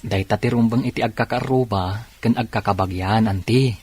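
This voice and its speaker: A man playing an earnest, helpful relative with a Filipino accent and a husky voice, in a radio drama. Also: on the low side, normal speed, a little mellow. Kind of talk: Ilocano radio drama acting.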